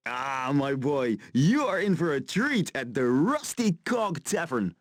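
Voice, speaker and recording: Seasoned middle-aged adventurer, video game cutscene, expressive varied pitch, theatrical and reflective. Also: male voice, unhurried. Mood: teasing. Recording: game audio with prominent music behind.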